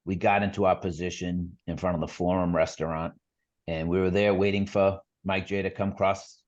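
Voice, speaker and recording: Deep-voiced man with a Boston accent. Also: normal speed, muffled recording. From Boston area, USA. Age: 40s. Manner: relaxed, chuckling, many fillers. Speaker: podcast guest. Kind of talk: casual storytelling.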